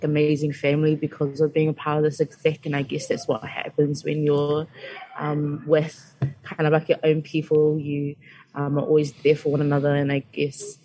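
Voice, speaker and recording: A young woman from New Zealand, a student guest talking in a soft, hesitant way with many pauses. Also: low voice, poor audio. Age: young adult. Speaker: student association exec member, guest. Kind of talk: casual radio chat.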